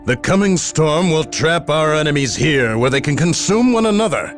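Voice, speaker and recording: An actor playing an earnest, uneasy space marine subordinate in a video game, formal and questioning. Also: male voice, on the high side, lively intonation, moderate pace, soft background music, lightly acted. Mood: concerned. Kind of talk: video game cutscene acting.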